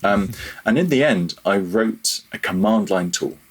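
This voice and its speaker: A man's voice: on the low side, measured pace, slightly rough. A man chatting casually as a podcast guest, self-deprecating and a little hesitant in how he phrases things. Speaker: podcast guest, freelance audio programmer. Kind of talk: casual interview chat.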